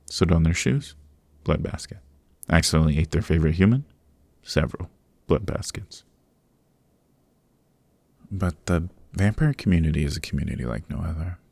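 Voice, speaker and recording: A very low, husky voice belongs to a proud, sociable old vampire gentleman, acted by a man. He chats with genteel, old-fashioned courtesy in an ASMR roleplay. The sound is close and dry, with a gravelly edge. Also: lively intonation, moderate pace, long pauses, no echo, full character voice. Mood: amused, chatty. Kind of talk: ASMR roleplay, interview monologue. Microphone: close microphone, dry.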